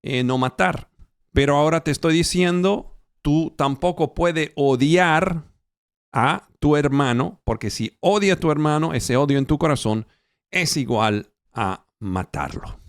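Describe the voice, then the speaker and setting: Non-native male pastor, unhurried halting sermon delivery, slightly higher voice. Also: clean tone, no echo, studio quality. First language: not Spanish. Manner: slow, halting, with fillers and self-corrections. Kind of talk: Bible teaching sermon. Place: church, recorded for an online channel.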